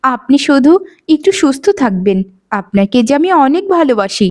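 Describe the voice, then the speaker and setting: A woman voicing a patient, dutiful elder daughter-in-law in a cartoon, a gentle peacemaker with a soothing, reasoning manner. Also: high-pitched, animated, normal speed. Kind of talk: Bengali cartoon voice acting.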